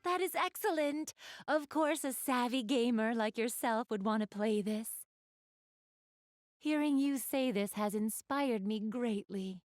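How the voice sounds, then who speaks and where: A woman's voice: a little high, a little slow, no room sound, lightly acted. A woman playing an elegant young goddess in an anime video game, speaking to the player with polite, genteel, formal diction and a keen gamer's refinement. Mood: enthusiastic, polite.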